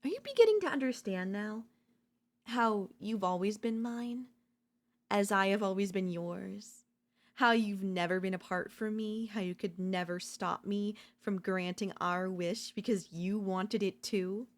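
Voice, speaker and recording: A woman voice-acting an obsessive, unhinged yandere in a dark ASMR audio roleplay, theatrical and taunting, mocking and seductive. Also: medium pitch, unhurried, lightly acted. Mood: possessive, triumphant. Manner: theatrical, taunting, swinging between intimate murmurs and intense outbursts.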